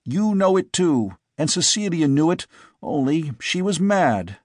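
A man's voice: a little high, big pitch swings, unhurried, no echo, studio quality, pitched above the performer's own voice. Man voicing an agitated young son. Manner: insistent, pleading.

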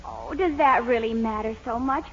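A woman's voice: on the high side, normal speed, gravelly, fairly bright tone. An actress playing an eager, lovestruck, determined young sweetheart in a 1940s radio comedy, girlish and gushing. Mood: adoring. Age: young adult. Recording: dull, noisy old radio recording.

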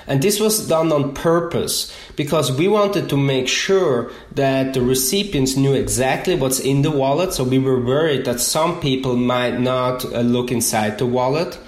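A man from Switzerland with a Swiss accent, explaining his research in measured detail as an interview guest. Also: mid-range voice, echoey room. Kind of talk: interview answers.

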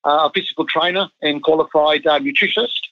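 A middle-aged man from Chile talks through his rugby coaching life in loose, rambling sentences, stopping often for ums and restarts. His voice sits a little high for a man. The sound is muffled and lo-fi, with a very dry, close feel. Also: quick pace, no echo. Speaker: national team head coach. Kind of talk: casual interview chat.

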